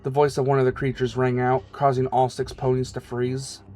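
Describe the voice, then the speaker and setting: A man's voice: mid-range voice, monotone, moderate pace, darkish tone, no room sound. A man reading scripted fiction as the narrator of a story. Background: music.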